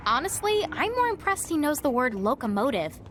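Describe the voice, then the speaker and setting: A woman playing a feisty, sarcastic, easily spooked young sister in an animated game cutscene. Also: high voice, brisk, slight background noise.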